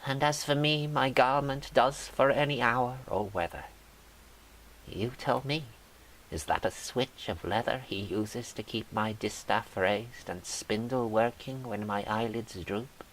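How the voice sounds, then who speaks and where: A weary, bitter old peasant, acted by a man speaks a poetic monologue very slowly and plaintively, pitched up from a deep natural voice. Faint hiss runs underneath. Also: a bit bright, natural voice, rough recording. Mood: bitter, questioning. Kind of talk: dramatic poetry monologue.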